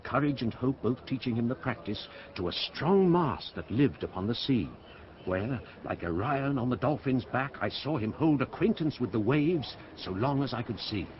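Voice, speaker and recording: An actor playing a loyal, frank sea captain with a reassuring, storytelling manner in a Shakespeare radio drama. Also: male voice, mid-range voice, animated, normal speed, slight background noise, lightly acted. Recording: muffled, loud outdoor ambience behind.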